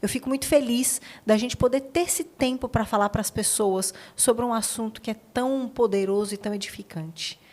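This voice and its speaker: A woman's voice: fairly high pitch, moderate pace, few pauses, a little mellow. A woman with a Brazilian accent, hosting a podcast interview in a conversational style. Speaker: podcast host.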